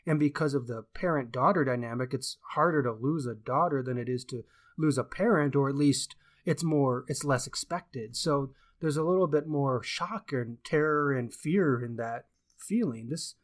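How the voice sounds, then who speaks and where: A man with a mid-pitched voice talks through books as a podcast co-host. He is opinionated and emphatic, often doubling a word to press it home. The style is casual and conversational. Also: normal speed. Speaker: podcast co-host and book reviewer. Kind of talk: casual book discussion.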